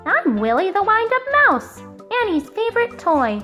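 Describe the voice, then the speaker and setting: A woman's voice: very high voice, sing-song, normal speed, sharp tone, soft background music, voice pushed up, exaggerated voice. A woman voicing a childlike, contented male wind-up toy mouse in a storybook, with a sing-song delivery. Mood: proud. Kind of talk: children's storybook read-aloud.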